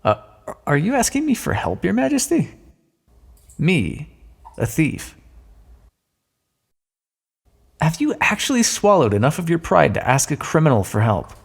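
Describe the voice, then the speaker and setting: Smug, gravelly thief in echoing reverb, acted by a man. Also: on the high side, huge pitch swings, exaggerated voice. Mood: incredulous. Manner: mocking mock-courtly address, taunting, drawn-out emphasis. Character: smug, sardonic male thief, gloating at a fallen monarch. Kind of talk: scripted audio roleplay, theatrical monologue.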